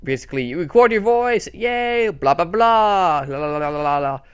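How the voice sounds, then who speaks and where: A fairly high-voiced young man chats into a desktop mic while recording his screen. He rambles, trips over his words and gets excited, then drops back to a low mutter. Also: moderate pace, clear, resonant tone, lo-fi sound. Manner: rambling, self-correcting, excitable. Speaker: channel host. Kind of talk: casual screen-recorded tutorial chat.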